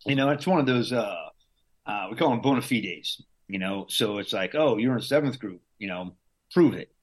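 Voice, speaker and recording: A man's voice: fairly low pitch, lively intonation, moderate pace. A middle-aged man from Southern Louisiana telling stories as an interview guest, with many restarts and chuckles. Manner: rambling, frequent restarts and laughs. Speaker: interview guest, Green Beret veteran. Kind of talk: casual conversational storytelling.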